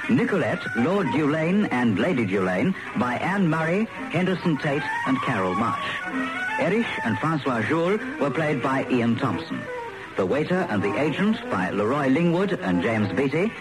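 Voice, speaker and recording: A man's voice: medium pitch, very animated, moderate pace, poor audio. A man reading out scripted closing credits as a radio announcer. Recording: radio broadcast over loud music.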